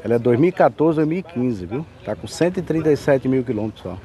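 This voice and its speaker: A man from Brazil giving a folksy, chatty sales pitch while filming cars at an outdoor car fair on a handheld camera. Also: expressive, fast, mellow. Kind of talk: walkaround sales vlog. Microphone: handheld camera mic, filming.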